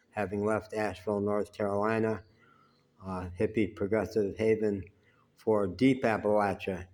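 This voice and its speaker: A man telling personal stories in an unhurried, conversational way, pausing often. He has a naturally loud voice. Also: on the low side. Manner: unhurried and conversational, with frequent "uh" pauses. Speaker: vlogger telling life stories. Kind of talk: rambling personal storytelling.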